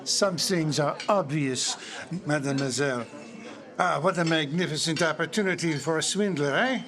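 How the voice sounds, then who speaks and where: Guy doing a fussy belgian detective, french accent, pretty high voice, smug and theatrical, radio mystery play style. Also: moderate pace, a crowd in the background, lightly acted. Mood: smug explaining. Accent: French-speaking Belgian, put on. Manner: precise, theatrical, self-satisfied explaining. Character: Belgian detective, courtly, pompous, confident man. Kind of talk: live radio-style mystery drama performance. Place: public library live stage before an audience.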